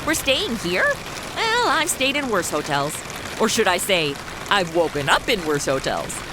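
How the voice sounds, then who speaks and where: A woman's voice: medium pitch, sing-song, measured pace. A woman playing a brash, sarcastic, whiny modern American sidekick in a comedy audio drama, full of slang. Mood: whiny, mocking. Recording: noisy, rain-like hiss behind.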